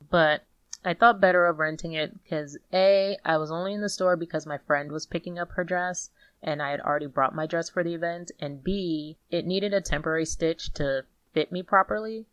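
A woman's voice: deep voice, fairly bright tone, no echo, low-quality recording. A woman hosting a podcast, talking in an informal, conversational way. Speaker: podcast host. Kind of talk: casual commentary and chat.

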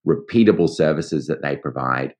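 Australian male guest, low register, polished and fluent interview answers. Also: animated, normal speed, a little mellow. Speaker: legal tech founder, guest.

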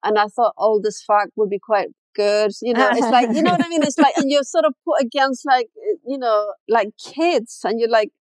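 A woman in her fifties from Chile, a fashion designer as a guest, chatting candidly and with self-deprecating humor in a relaxed interview. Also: on the high side, normal speed, few pauses, no echo, rough recording. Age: 50s. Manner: candid, self-deprecating, laughs often, many "you know"s. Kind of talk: casual interview chat.

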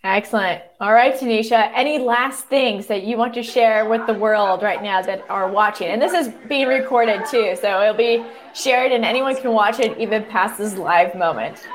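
Upbeat woman host, slightly high voice. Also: moderate pace, hardly any pauses. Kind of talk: casual live interview.

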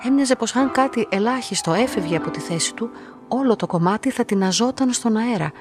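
A woman's voice: a little high, animated, natural voice. Introspective young woman narrating, music behind acted. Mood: quietly observant. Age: young adult. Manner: measured, introspective. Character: lonely, submissive, quietly observant young woman narrator. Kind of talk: literary first-person radio drama narration.

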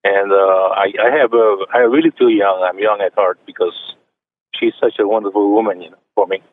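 A man's voice: fairly low pitch, steady tone, fairly quick. A man in his late seventies from China, calling in to a radio show and chatting with many fillers and restarts. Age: 70s. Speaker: caller. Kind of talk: phone-in chat. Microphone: telephone. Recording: tinny phone line.

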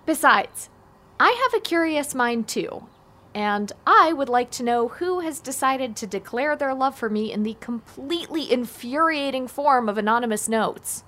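Sardonic teen girl in an audio drama, slightly high voice, dry and brusque, zero sentiment. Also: lively intonation, normal speed, pushed and bright. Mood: sardonic, insistent. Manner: dry, brusque, matter-of-fact. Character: blunt, sardonic, unsentimental teenage girl. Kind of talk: naturalistic audio drama acting.

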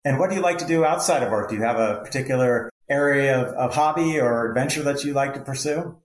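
A man's voice: mid-range voice, quick pace, echoey room, poor audio. A man hosting an interview, asking questions and affirming answers in an upbeat way. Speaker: podcast host.

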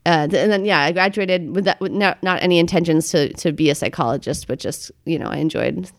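Young woman from boston, photographer guest, chatty, laughs often, deep voice. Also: a little fast, gravelly. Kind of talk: casual interview.

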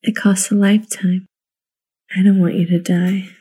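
A woman telling a personal story and reading poems aloud in an intimate, reflective way, with halting pauses. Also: medium pitch, flat delivery, dark tone, breathy edge. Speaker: listener contributor.

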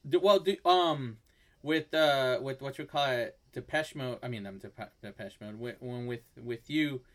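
A man hosting a podcast, speaking in a halting, admiring way with lots of fillers. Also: mid-range voice. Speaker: podcast host. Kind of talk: casual podcast interview.

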